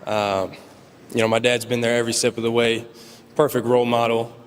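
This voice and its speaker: A young man speaks humbly and haltingly, pausing on fillers, in a flat, even tone. His voice carries a long reverb from the large awards hall around him. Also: medium pitch, slight background noise. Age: young adult. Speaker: award winner giving a speech. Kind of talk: acceptance speech.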